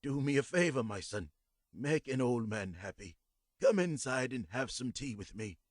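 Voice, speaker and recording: A soft-spoken old man with the faintest foreign accent, courteous and gentle, as a storyteller puts him on. His voice rises higher than the narrator's own and swings widely in pitch, heard dry and close with no room around it. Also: lively intonation, normal speed, no room sound, close to an everyday voice. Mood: warm, friendly. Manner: gentle, warm, softly spoken. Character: kindly old immigrant man, polite and gentle.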